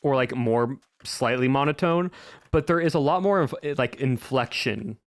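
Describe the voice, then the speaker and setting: A man talks casually to his stream chat through a home streaming microphone. His delivery is somewhat monotone and flat, and he rambles and restarts his sentences as he goes. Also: mid-range voice, normal speed, no room sound. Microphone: home streaming microphone with a filter.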